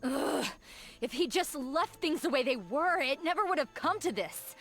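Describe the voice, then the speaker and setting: High, animated resentful conspirator. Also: female voice, normal speed, soft background music. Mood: resigned, bitter. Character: anxious but resentful female conspirator. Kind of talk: video game voice acting.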